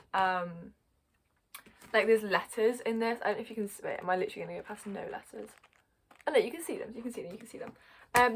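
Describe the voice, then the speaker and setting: Young British woman book vlogger, croaky voice, chatty and excitable, self-correcting. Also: medium pitch, slight background noise. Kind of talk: casual chat to camera. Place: converted attic room.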